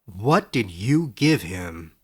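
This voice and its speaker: A man playing a skeptical but kindly professor in a storybook, with a dry, measured, gently lecturing manner. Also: fairly low pitch, sing-song, husky, pitched below the performer's own voice, exaggerated voice. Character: wry, skeptical, kindly male professor, unhurried and quick-witted. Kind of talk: storybook character voice in audiobook narration.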